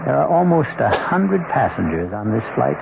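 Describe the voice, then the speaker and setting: Gravelly male radio mystery narrator. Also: mid-range voice, sing-song. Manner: measured, dramatic storytelling. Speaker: host and narrator. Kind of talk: scripted narration, old-time radio mystery. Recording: tinny, noisy, humming old radio broadcast.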